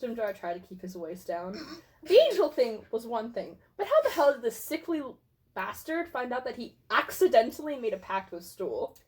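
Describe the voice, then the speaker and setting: Female narrator, lively pitch swings, theatrical tongue-in-cheek delivery of comedic fantasy fan fiction. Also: moderate pace. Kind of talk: dramatic reading of comic fan fiction.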